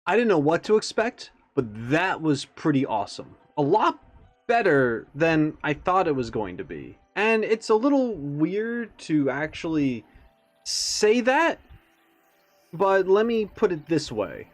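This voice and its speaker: A man hosting a reaction video with casual commentary, stressing words theatrically and pausing for emphasis. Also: a little high, sing-song, soft background music.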